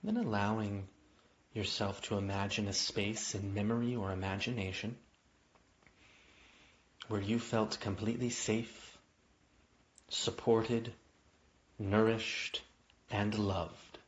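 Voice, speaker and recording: Male meditation guide, lower-pitched voice, gentle invitational delivery with lingering pauses, slightly dull lo-fi sound. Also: fairly even intonation, slight background noise. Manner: gentle, unhurried phrasing with long pauses, invitational. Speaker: yoga nidra guide. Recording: dull, muffled lo-fi audio.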